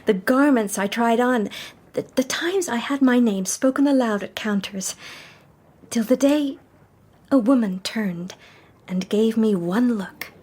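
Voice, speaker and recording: An actress playing a wistful young society woman, telling her story in a hushed, measured radio drama style. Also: fairly high pitch, breathy edge. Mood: resolute, reflective.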